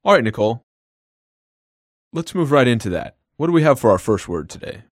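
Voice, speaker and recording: A co-host in a scripted language lesson, explaining word meanings in short lively lines. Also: medium pitch, a little fast, no room sound, clean studio sound.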